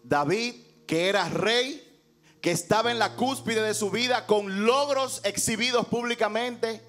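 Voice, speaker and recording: A man's voice: sits high, a little slow, a bit bright, soft background music. A male pastor preaching an emphatic sermon in a rhetorical way, acting out dialogue from scripture.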